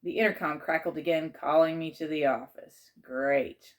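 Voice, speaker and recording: A woman with a slightly low voice reads a children's chapter book aloud. She narrates in the first person, in the style of a teacher reading to a class. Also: fairly even intonation, fairly quick.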